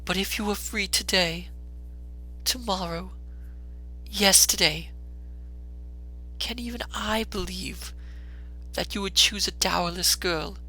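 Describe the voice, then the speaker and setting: A woman playing a gentle, steadfast, sorrowful young woman in a dramatic storybook reading, measured and solemn. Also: mid-range voice, full character voice, poor audio. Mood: sorrowful resolve.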